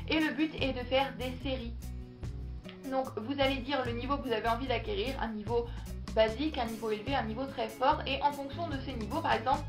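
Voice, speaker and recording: A young woman with a slightly high voice talks casually to camera, chatty and upbeat. Her voice rings in an echoey room, and music plays clearly behind her. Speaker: vlogger.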